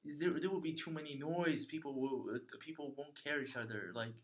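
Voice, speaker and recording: A young man from China telling a travel story in casual chat, chatty and halting with many fillers. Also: fairly high pitch, steady tone, a little hiss or hum. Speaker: interviewee sharing a travel story.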